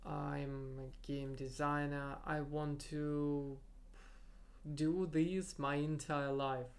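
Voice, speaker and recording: A young man whose first language is Russian, thinking aloud in a halting solo podcast monologue and searching for his words. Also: a little high, creaky. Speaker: podcast host.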